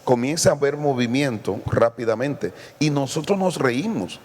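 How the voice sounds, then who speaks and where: A man from Mexico, a guest pastor, telling lively anecdotes with quoted dialogue in a casual church podcast. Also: mid-range voice, normal speed, in a large hall.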